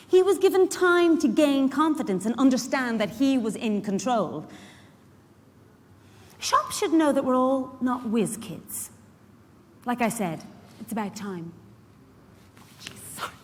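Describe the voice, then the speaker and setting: An actress playing a busy, indignant care worker and mother in a chatty, emphatic stage monologue. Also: high voice. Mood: flustered, apologetic. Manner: chatty, emphatic, confiding asides to the listener. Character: Stella, busy, warm-hearted, indignant working mother and community care worker. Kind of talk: dramatic monologue reading performed live. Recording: echoey hall, live stage reading.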